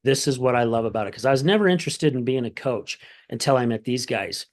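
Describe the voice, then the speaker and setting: A man's voice: medium pitch, lively intonation, fast talker. Earnest man hosting a podcast. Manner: friendly, earnest, conversational. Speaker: podcast host. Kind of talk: podcast hosting and sponsor read.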